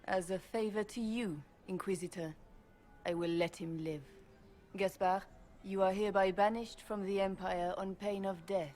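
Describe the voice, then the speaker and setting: A woman's voice: mid-range voice, fairly even intonation, darkish tone, lightly acted. Stately empress in a reverberant hall acted by a woman. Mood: stern. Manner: stately, formal, measured. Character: regal, composed empress addressing her court. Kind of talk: formal dramatic game acting. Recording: long hall reverb over music.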